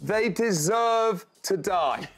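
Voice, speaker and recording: A man's voice: sits high, animated, extremely slow, faint music behind. A man co-hosting a news show in a wry, jokey and opinionated way. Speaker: co-host. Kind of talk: casual news chat.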